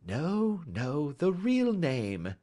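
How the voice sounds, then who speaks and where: A man voicing a genial, shrewd gentleman detective in an audiobook, speaking with courteous assurance and a faintly teasing manner. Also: fairly high pitch, very animated, measured pace, voice pushed up. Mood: smooth, knowing.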